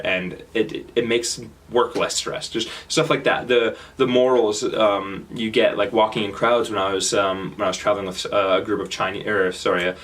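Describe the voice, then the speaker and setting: Halting Canadian man giving a talk. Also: on the low side, fairly bright tone. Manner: casual, halting, many fillers and restarts. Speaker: speaker. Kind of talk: public talk. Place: stage.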